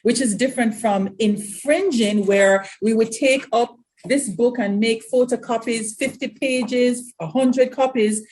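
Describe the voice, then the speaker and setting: A woman's voice: a little high, a little slow, few pauses, a little mellow, poor audio. Woman moderating a panel. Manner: clear, explanatory, emphatic.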